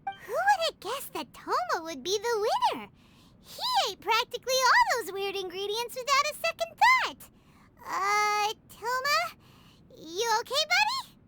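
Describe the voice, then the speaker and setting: A woman voicing a tiny, chatty, excitable childlike fairy in an anime-style video game, with a squeaky voice. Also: a little slow, heavy background noise, exaggerated voice. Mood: amused concern. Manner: speaks of herself in third person, exclamatory. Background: prominent music.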